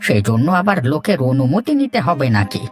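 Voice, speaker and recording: A man's voice: a little high, huge pitch swings, fairly quick. A man voicing a stubborn, defiant village poultry keeper and grumbling husband in a cartoon, argumentative. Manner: indignant, argumentative. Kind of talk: cartoon dialogue acting. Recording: faint music behind.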